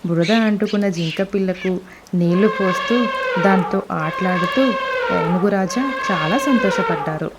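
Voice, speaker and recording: A woman narrating a children's cartoon story, speaking gently and expressively to the kids. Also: fairly low pitch, normal speed, very noisy.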